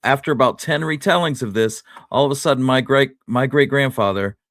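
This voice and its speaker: A man's voice: medium pitch, a little fast, a bit bright, no echo, studio quality. A man co-hosting a casual history discussion, talking conversationally to his partner and viewers. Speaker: podcast co-host.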